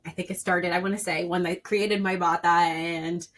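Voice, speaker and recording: A woman in her thirties speaks candidly as an interview guest, pressing each point with emphasis. Her voice sits a little low in her range and jumps up loudly when she pushes hard. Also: steady tone, moderate pace. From Puerto Rican and Dominican background. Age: 30s. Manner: earnest, emphatic, rising to a loud pitch when stressing a point. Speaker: podcast guest.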